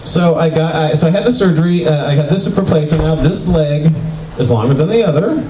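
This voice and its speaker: An older man doing a self-mocking, animated stand-up comedy set in a small venue. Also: fairly high pitch, fairly quick, a little hiss or hum. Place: small venue with live audience. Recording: echoey, distant club recording with crowd.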